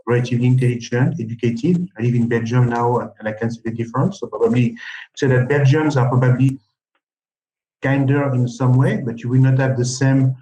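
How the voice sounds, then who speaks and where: A man with a French accent hosting a webinar over a video call, talking in a halting way with many restarts. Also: medium pitch, fairly even intonation, warm tone, rough recording. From France. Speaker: webinar host. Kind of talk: casual webinar chat.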